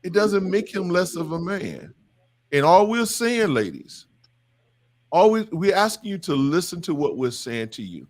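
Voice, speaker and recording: A middle-aged man hosting a men's discussion, talking in an emphatic, preaching style that repeats and stresses its points. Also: high voice. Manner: emphatic, repetitive, animated. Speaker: podcast host leading a men's discussion. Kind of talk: impassioned preaching-style talk.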